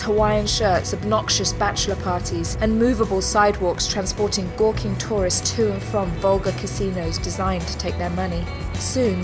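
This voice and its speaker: A woman narrates a scripted sci-fi audio drama. Her voice sits at a middle pitch. Music plays clearly behind her storytelling.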